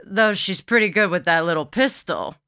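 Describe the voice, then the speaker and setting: A woman's voice: lowish voice, animated, moderate pace, pushed and bright, clean tone. Woman voicing a stubborn, blunt, irritable husband. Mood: grumbling.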